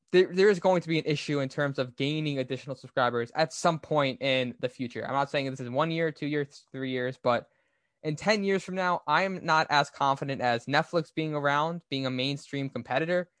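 Male business podcast host, slightly high register, explanatory and opinionated, very dry recording. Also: normal speed, fairly bright tone, no room sound. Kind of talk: conversational business commentary.

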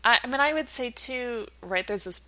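A woman's voice: fairly high pitch, expressive, moderate pace, sharp tone. A woman speaking as an expert guest in an interview, explaining ideas conversationally through anecdotes. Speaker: interview guest, behavioral science researcher.

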